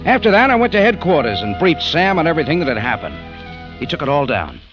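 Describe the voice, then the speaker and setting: An actor playing a hard-boiled, unflappable American cafe owner in 1940s radio detective style. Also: male voice, on the high side, very animated, fast talker. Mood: wry narrating. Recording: dull old radio recording, music behind.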